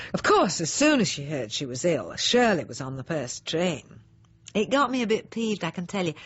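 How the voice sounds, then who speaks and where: Radio monologue: snobbish middle-aged housewife, self-righteous and prim, husky with a creaky edge, on a slightly dull recording. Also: female voice, lowish voice, exaggerated voice. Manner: prim, pointed, self-justifying, with emphatic swoops. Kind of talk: radio drama monologue, confiding.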